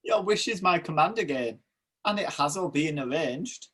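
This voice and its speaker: A man playing an obliging pantomime genie, declaiming in rhyme with a husky voice. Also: on the high side, lively intonation, moderate pace, sharp tone, exaggerated voice. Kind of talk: rhyming amateur pantomime acting.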